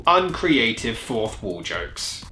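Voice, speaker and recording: A woman's voice: very deep voice, big pitch swings, slow pace, pushed and bright, a little hiss or hum. A woman hosting a review, giving opinionated scripted commentary in a scornful, exasperated, sweary way. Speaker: host of a review channel. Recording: roomy echo.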